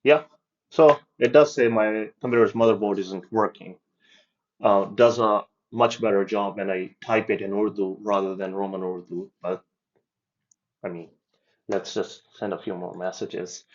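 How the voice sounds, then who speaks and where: A man explaining and demoing his software project in a casual walkthrough, hesitating with ums and restarts as he goes. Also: on the low side, fairly even intonation, a little slow, dull audio. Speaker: developer giving a project demo. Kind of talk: casual screen-share demo walkthrough.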